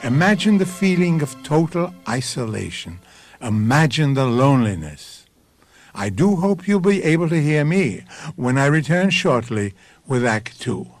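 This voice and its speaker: A man hosting and narrating a radio mystery in a suspenseful, deliberate, ominous and theatrical way. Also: medium pitch, big pitch swings, no room sound. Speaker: host and narrator. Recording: vintage radio broadcast with music. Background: prominent music.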